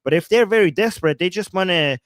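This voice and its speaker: A man with a Persian accent hosts a casual livestream. His voice sits fairly high, and it sounds dry and close. He talks in an excited, rambling way, breaking off and restarting his sentences. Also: fast talker, dry recording. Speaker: political livestream host.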